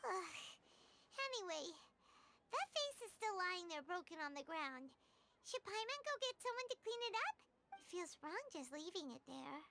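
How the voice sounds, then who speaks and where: A woman voicing a small, excitable childlike fairy companion in a video game, squeaky, chatty and exclamatory. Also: measured pace, heavily acted. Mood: fretful. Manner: speaks of self in third person, exclamatory. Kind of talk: video game character acting.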